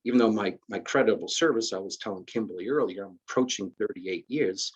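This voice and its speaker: A man, talking in a husky, slightly low voice. He explains retirement benefit rules conversationally over a video call, repeating and restarting as he works through each point. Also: quick pace, poor audio. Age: 50s. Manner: conversational, many restarts and fillers, explains step by step. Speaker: retirement system administrator. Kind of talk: casual explanatory presentation. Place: virtual benefits fair call.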